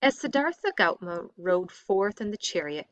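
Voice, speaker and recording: Woman reading aloud with very lively intonation. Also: mid-range voice, moderate pace, no echo, muffled recording. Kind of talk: expressive read-aloud of a textbook story.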